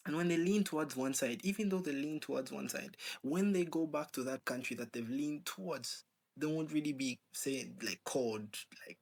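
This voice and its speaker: A man talking in a casual, rambling monologue, posing rhetorical questions and leaning on fillers. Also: medium pitch. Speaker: channel host.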